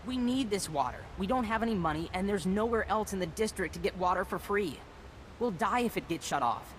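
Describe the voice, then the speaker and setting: Lively, defiant orphaned street kid, voiced by an actor. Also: mid-range voice, fairly quick, a little hiss or hum, close to an everyday voice. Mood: defiant, defensive. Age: child character. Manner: blunt, defensive. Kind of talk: video game character acting.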